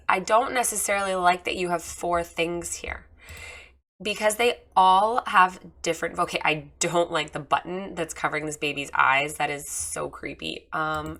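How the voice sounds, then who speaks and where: Woman a bit hoarse, bright lowish voice, chatty candid website review, lots of ums. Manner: candid, chatty, many fillers. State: slightly hoarse from lost voice. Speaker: photographer giving a website review. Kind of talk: casual screen-share critique.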